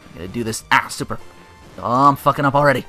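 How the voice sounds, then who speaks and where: A man giving casual, animated, sweary let's play commentary on a video game. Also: mid-range voice, fast, faint music behind. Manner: profane, animated.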